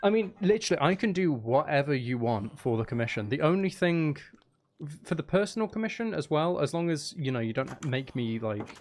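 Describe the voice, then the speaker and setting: A man's voice: a little high, a little hiss or hum. A man hosting a live stream, chatting casually with viewers in a rambling, chatty way.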